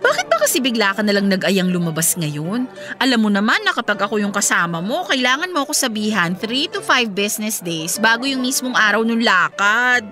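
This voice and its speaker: A woman's voice: mid-range voice, very animated, brisk, bright, forceful tone, faint music behind. An actress playing a chatty young mother and best friend, breezy and teasing, with a Filipino accent in a radio drama. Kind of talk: conversational radio drama acting.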